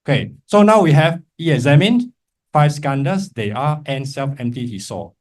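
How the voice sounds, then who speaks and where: A man's voice: fairly high pitch, expressive, normal speed. A man teaching a class as a Buddhist monk, informal and encouraging, often checking in with short tag questions. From Malaysia. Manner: encouraging, informal, frequent check-in tags like "right?" and "okay?". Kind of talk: interactive lecture to a class with remote listeners.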